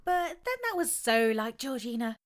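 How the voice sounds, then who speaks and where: A woman's voice: on the high side, sing-song, moderate pace. A British woman playing a flighty, blasé cousin in an audio comedy, chatty and offhand. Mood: blasé, gossipy. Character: flighty, blasé, gossipy female cousin being interviewed. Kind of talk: audio comedy sketch acting.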